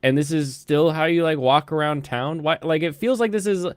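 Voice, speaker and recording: A man with a slightly high-pitched voice rambles through an anime review with his friends. He is animated and keeps breaking into mocking impressions of the characters, recorded close on a dry mic. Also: normal speed, clear, resonant tone, dry recording. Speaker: host. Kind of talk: casual anime review chat.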